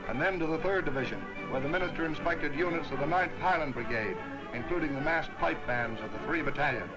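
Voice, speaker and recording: A man narrating a wartime newsreel in a brisk, stirring announcer's delivery. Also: on the high side, poor audio. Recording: old newsreel soundtrack with loud music.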